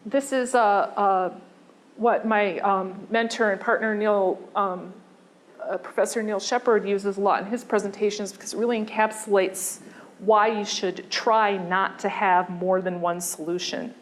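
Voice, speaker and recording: A woman giving a conversational design lecture, pausing often with fillers and restarting her sentences. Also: mid-range voice, fairly even intonation, slight background noise.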